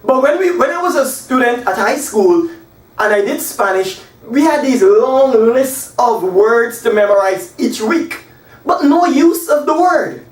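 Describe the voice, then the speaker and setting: A man in his forties from Guyana, teaching an English lesson at a whiteboard and spelling out example sentences slowly and with emphasis. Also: very high voice. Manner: animated, gesturing, slow emphatic example sentences. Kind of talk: classroom lesson. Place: small room by a whiteboard. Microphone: camera across the room. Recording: echoey room, voice from a distance.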